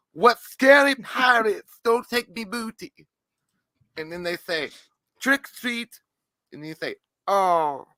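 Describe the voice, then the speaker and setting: A man putting on a simple-minded, gentle character who speaks in broken grammar, slow and singsong. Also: on the high side, no echo, pitched above the performer's own voice.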